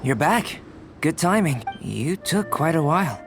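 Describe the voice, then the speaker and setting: A man playing a calm, courteous young alchemist in a video game, speaking in a measured, formal, reflective manner. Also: fairly high pitch, music in the background. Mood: friendly, curious. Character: calm, courteous, scholarly young male alchemist, detached and inquisitive. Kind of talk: video game character voice acting.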